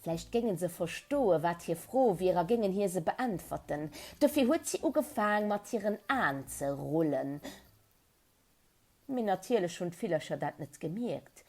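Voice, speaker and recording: A woman with a Luxembourgish accent telling a children's story in a dramatic, animated way, with emphatic build-ups and repetition. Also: fairly low pitch, normal speed.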